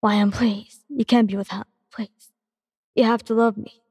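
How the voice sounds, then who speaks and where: A desperate young male hero pleads and confesses his love in a storybook reading, as a woman reads his lines. The voice is soft and breathy, with a long reverb tail. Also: fairly high pitch, little pitch movement, measured pace, studio quality. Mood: pleading. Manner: pleading, emotional.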